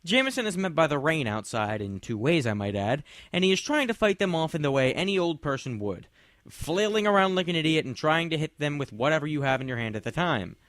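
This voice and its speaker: A man's voice: mid-range voice, no echo. A young man reading a sarcastic, mocking review of a comic, with snarky asides.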